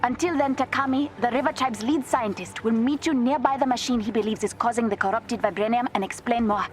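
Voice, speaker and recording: An actress playing a clever, headstrong young princess with an African accent, brisk and confident, in a video game. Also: female voice, fairly high pitch, faint music behind, close to an everyday voice.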